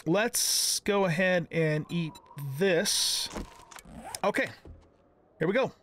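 Higher-pitched man giving folksy game commentary. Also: slow, a bit bright. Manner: folksy, thinking aloud, exclaiming. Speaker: gaming channel host. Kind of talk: casual let's-play commentary. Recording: clear background noise with clicks.

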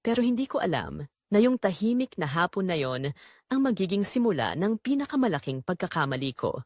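A woman narrating a scripted first-person story in a confessional, dramatic way with deliberate phrasing. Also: on the low side, very animated, a little mellow, dry recording, studio quality.

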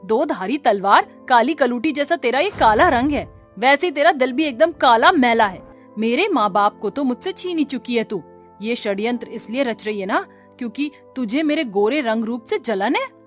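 Actress as arrogant cartoon girl, spiteful, haughty, high-pitched, background music. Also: animated, normal speed, natural voice. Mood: scornful. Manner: scornful, haughty. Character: arrogant, spiteful young twin sister in cartoon.

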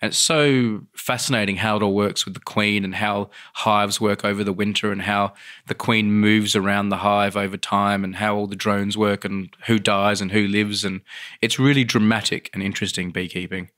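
A man's voice: fairly low pitch, level tone, moderate pace, a bit bright. An Australian man chatting casually as a podcast co-host, an enthusiastic storyteller. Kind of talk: casual anecdotal podcast chat.

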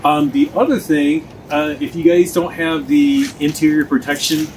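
A man's voice: fairly high pitch, steady tone, normal speed, darkish tone, low-quality recording. A man hosting a car owners' meetup, talking loosely to a group outdoors with many ums and restarts. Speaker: meetup organizer, channel host. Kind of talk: casual talk to a group outdoors. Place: snowy parking lot outdoors. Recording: outdoor video with clear background noise.

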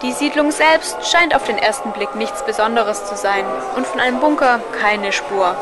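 A woman's voice: fairly high pitch, normal speed, loud music behind the voice. A woman reading scripted narration as a newsreel reporter.

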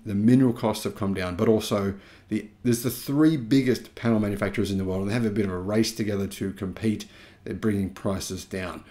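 A man's voice: fairly low pitch, warm tone. An Australian man in his thirties talks straight to camera about the news. He drives his points home by stretching and stressing key words. He sits in a small indoor home studio. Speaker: YouTube channel host.

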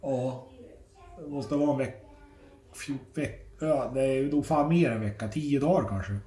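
A middle-aged man chatting casually for a family vlog, informal and sweary, often halting, recorded on a phone at arm's length indoors. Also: medium pitch, warm tone. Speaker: family vlogger. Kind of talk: casual handheld vlog chat. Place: indoors at home, rooms and kitchen. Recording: roomy echo, faint household noise.

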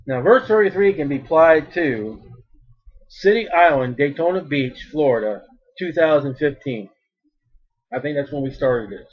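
A man preaching a sermon as a street preacher, with a big loud voice and emphatic delivery that swells in volume. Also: on the high side, lively intonation, moderate pace, breathy edge, highs cut off.

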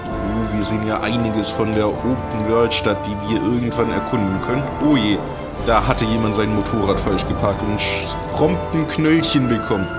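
Ein Mann kommentiert locker und mit trockenen Sprüchen ein Videospiel als Let's-Play-Host. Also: male voice, fairly low pitch, normal speed. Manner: chatty, wry asides while reading game menus. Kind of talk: casual gameplay commentary. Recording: talking over game music.

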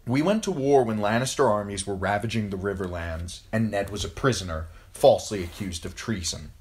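A man's voice: fairly low pitch, a little fast, natural voice. A man voicing a grieving, resolute noblewoman, a widowed mother pleading earnestly in a fantasy audiobook. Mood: grave pleading. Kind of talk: audiobook fantasy character acting.

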